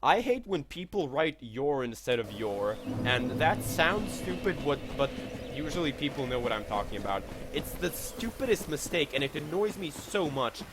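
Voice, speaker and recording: A man's voice: on the high side, big pitch swings, normal speed, few pauses, background noise. A young man with a slight Serbian accent, chatting casually while streaming a game, thanking viewers and swearing at it. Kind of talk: casual live-stream commentary.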